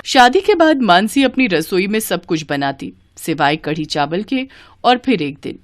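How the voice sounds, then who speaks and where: A woman narrating a scripted Hindi family story as storyteller, with an expressive storybook delivery. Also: mid-range voice, unhurried, soft background music.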